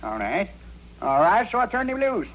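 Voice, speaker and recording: A man playing a desperate, poor farmer turned robber in a radio western, with a folksy rural American accent and halting bluster. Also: high-pitched, huge pitch swings. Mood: cornered, defiant. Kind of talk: 1950s-60s radio western acting. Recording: muffled old radio recording, hum, noise.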